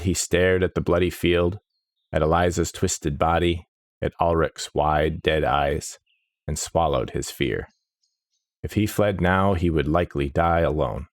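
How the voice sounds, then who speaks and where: Male audiobook narrator for dark fantasy; unhurried, grave, measured delivery. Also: low-pitched, no room sound, clean studio sound.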